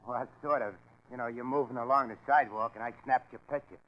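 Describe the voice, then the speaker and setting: A man acting a chatty, streetwise sidewalk photographer in a 1940s radio crime show. He spins out breezy, wisecracking slang at a middling pitch. The vintage recording is dull and muffled. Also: steady tone, quick pace, natural voice.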